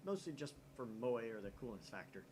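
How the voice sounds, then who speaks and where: A man's voice: medium pitch, very animated, a little fast, noisy background. A man from the United States hosting a casual let's play, reading a visual novel aloud with jokey, opinionated asides.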